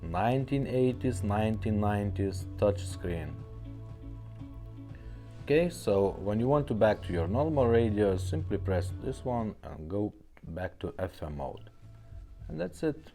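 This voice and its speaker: Male demo presenter, low and mellow, casual, short phrases with frequent check-ins, faint music behind. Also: lively intonation, a little slow. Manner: talks in short phrases, often checking in with "okay?". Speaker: presenter demonstrating a restored car radio for sale. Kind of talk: casual product demonstration.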